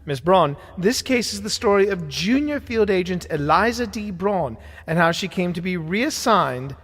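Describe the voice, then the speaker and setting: Man playing a fussy Victorian archivist, wildly animated, reverberant. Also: high voice, normal speed. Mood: coaxing, pleading. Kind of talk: scripted audio drama. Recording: long hall-like reverb.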